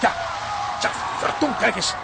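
An actor playing a blunt, excitable lookout sailor giving exclamatory running commentary in a Dutch audio drama. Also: male voice, a little slow, over loud music, lightly acted. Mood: excited. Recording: very noisy outdoor sound-effects bed.

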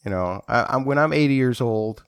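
A man's voice: mid-range voice, lively intonation, fairly quick, gravelly, smooth, dry recording. A man in his forties hosting a podcast, joking and stumbling on his words as he chats about a book. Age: 40s. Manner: playful, jokey, repeats words when starting a sentence. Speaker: podcast host. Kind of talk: casual book discussion.

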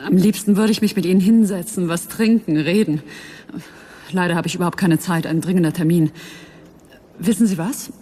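An actress playing a charming, sly young production assistant who flatters and coaxes in a radio drama. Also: female voice, lowish voice, brisk, long pauses, natural voice. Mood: breezy, flattering. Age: young adult. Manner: flattering, coaxing, smooth-talking.

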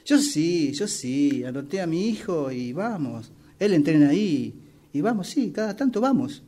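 A man's voice: fairly high pitch, sing-song, measured pace, mellow. A man with a Rioplatense Argentine accent acting a chatty, over-familiar, effusive acquaintance in a radio play. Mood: nostalgic, chatty. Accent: Rioplatense Argentine Spanish. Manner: effusive, chummy.

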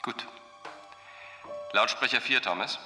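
An actor playing a cold, manipulative doctor in a crime radio drama, speaking in a measured, condescending, commanding way. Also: male voice, mid-range voice, natural voice. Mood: controlled, probing. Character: controlling male doctor, cold manipulative cult-like mastermind. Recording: music playing behind the voice.

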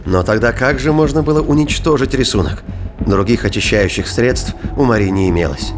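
Male audio drama narrator over soft music. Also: medium pitch, brisk. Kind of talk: scripted narration of a science-fiction thriller audio drama.

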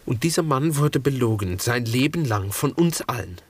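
A serious young son in a radio drama, with a husky voice at a middling pitch. He is earnest and restrained, with a resolute, quietly accusing edge. The delivery is formal, in 1960s radio style. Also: expressive, moderate pace. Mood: grave, accusing.

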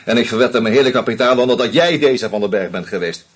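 An actor playing a shrewd screenwriter who methodically unmasks a killer, with a husky voice and dry irony. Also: male voice, medium pitch, fast talker, natural voice. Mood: accusing. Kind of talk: radio play acting.

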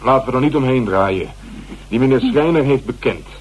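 An actor playing an unyielding police inspector in a radio play, blunt, dry and sardonic. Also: male voice, mid-range voice, lively intonation, a little fast, slightly rough, rough recording.

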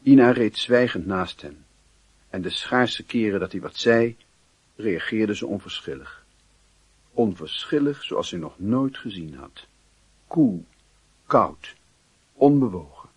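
A man narrating a wistful literary radio drama as a reflective, measured storyteller with a husky, raspy voice. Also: on the low side, lively intonation, no echo, heavily acted. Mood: melancholy.

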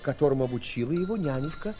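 A man narrating a children's audio play as an expressive storyteller. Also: mid-range voice, quick pace, close to an everyday voice.

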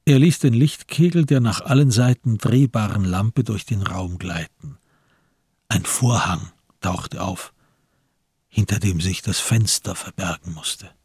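A man with a gravelly, husky voice giving literary narration in a radio crime drama. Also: lowish voice, a little slow, darkish tone, no room sound, studio quality.

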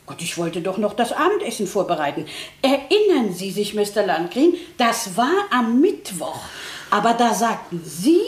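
Animated, motherly housekeeper played by a woman, roomy sound. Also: mid-range voice, normal speed, close to an everyday voice. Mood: fussing, kindly. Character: talkative, motherly female housekeeper, former nanny of her mistress. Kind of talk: German radio crime drama acting.

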